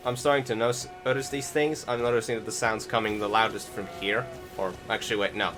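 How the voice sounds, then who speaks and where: A man's voice: on the low side, steady tone. Man rambling through casual gameplay commentary. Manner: rambling, halting, self-correcting. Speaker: gamer giving commentary. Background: game music.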